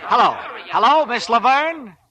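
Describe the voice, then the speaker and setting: An actor playing a cocky young male sailor and ladies' man in a 1940s radio play, brash and fast-talking. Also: very high voice, very animated, pushed and bright, exaggerated voice. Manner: brash, fast-talking, crooning to his girl. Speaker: lead actor. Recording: old 1940s radio broadcast.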